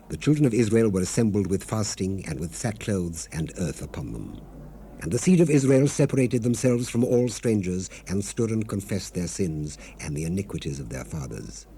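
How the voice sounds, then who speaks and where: A low, mellow male voice on a faintly hissy old cassette. He reads scripture as solemn drama, grave and declamatory, with measured phrasing that rises and falls widely. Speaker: narrator of a dramatized Bible recording. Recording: noisy 1970s cassette rip. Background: ambient sound effects, tape noise.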